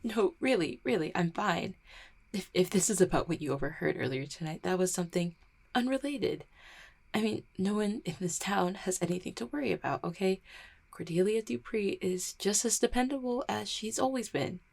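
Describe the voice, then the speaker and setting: Girl playing a heartbroken mail carrier lady, guarded, raspy n creaky, stumbles over her words, audio rp. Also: medium pitch, expressive, a little mellow. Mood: defensive, guarded. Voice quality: husky, creaky. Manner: halting, stammering, self-deprecating. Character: dependable small-town mail carrier woman, guarded, heartbroken after breakup. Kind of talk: roleplay audio drama, intimate one-sided conversation.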